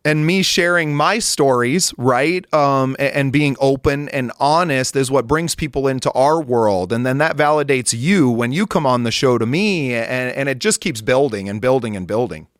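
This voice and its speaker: A male podcast host talks through his thoughts conversationally, his voice at an ordinary pitch. The recording is dry, with no room sound. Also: normal speed, hardly any pauses, no echo. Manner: conversational, thoughtful, many fillers and restarts. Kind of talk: interview conversation.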